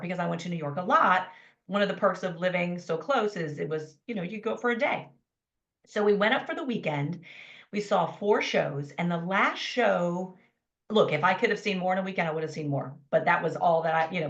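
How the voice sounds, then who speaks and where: A woman in her fifties, a life coach telling her story as a podcast guest in an enthusiastic, animated way. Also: lowish voice, creaky, highs cut off. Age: 50s. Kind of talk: conversational interview storytelling.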